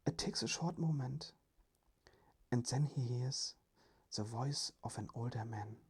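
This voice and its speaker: A storyteller speaking in a breathy, whispery ASMR style, with deliberate, gentle delivery. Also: mid-range voice.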